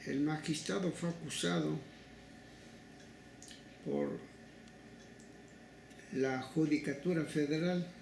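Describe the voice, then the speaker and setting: Older mexican guy telling old cases in an interview, super croaky voice, halting n deliberate, echoey room w some noise. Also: fairly high pitch, steady tone, darkish tone. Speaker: interviewee, human rights legal advocate. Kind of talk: recounting past cases in an interview.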